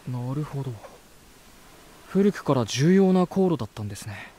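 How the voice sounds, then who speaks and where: A man's voice: mid-range voice, very animated, moderate pace, mellow. An actor playing a polite, curious male visitor in an anime-style voice drama, both talking and narrating earnestly.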